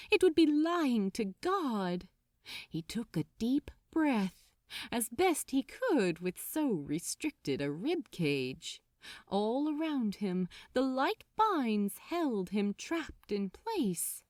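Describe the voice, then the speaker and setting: Woman, dramatic story narration, expressive, unhurried pace, close dry sound. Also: on the high side, no room sound. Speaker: audiobook narrator.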